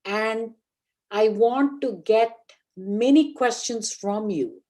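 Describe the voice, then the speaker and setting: A woman in her sixties from India, a guest professor opening an online lecture, speaking carefully and deliberately. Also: a little high, warm tone. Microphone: online video call.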